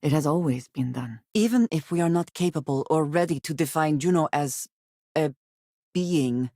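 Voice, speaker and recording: An actress voicing a guarded, solemn spacefaring companion in a video game, speaking in a measured, formal and blunt way. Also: female voice, deep voice, warm tone, no echo, studio quality. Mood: grave. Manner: measured, formal phrasing with few contractions. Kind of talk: scripted game character voice lines.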